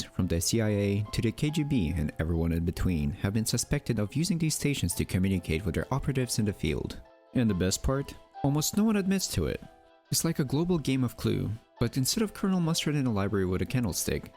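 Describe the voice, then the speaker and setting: A man's voice: fairly low pitch, darkish tone. A man delivering scripted documentary-style narration in a theatrical manner, with playful asides and rhetorical questions. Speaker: narrator and presenter of a mystery video channel.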